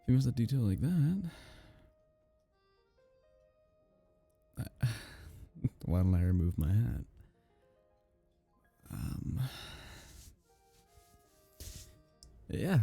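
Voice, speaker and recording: A man acting a geeky, awkward male kitsune on a blind date in an ASMR roleplay, halting, stammering and apologetic. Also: lowish voice, very animated, gravelly, mellow, breathy edge, no echo, soft background music, full character voice. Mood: flustered, nervous.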